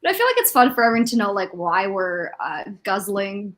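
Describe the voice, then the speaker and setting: A woman hosting a livestream in casual chat, informal and hesitant as she thinks out loud. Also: mid-range voice, big pitch swings, fairly quick. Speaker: livestream host.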